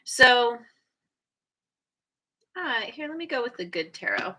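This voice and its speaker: Slow, bright-voiced woman reading tarot. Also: on the high side, sing-song. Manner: hesitant, many fillers and restarts; chatty, laughs at herself. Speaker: tarot reader.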